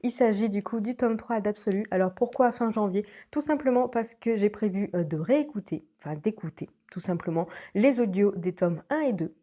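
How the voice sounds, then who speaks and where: A woman's voice: medium pitch, moderate pace, few pauses, warm tone. A young woman with a French accent and a stuffy nose from a cold, chatting casually at home about her reading plans, with many fillers and small laughs. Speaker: book vlogger. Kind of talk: casual chat to camera. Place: at home, indoors.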